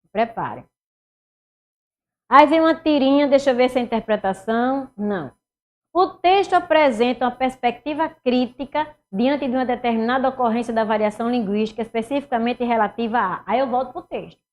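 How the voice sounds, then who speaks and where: A middle-aged woman with a Northeastern Brazilian accent, teaching an animated online exam-prep class and talking students through each step. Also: fairly high pitch, brisk. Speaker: Portuguese teacher. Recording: somewhat dull lo-fi sound.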